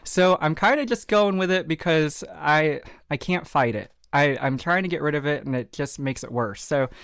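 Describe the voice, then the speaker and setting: A man's voice: fairly high pitch, normal speed, creaky, fairly bright tone, clean tone, dry recording, clean studio sound. A man with a Southern American accent hosting a podcast, talking straight to the listener in an upbeat, encouraging, folksy way. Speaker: podcast host. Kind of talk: motivational podcast monologue.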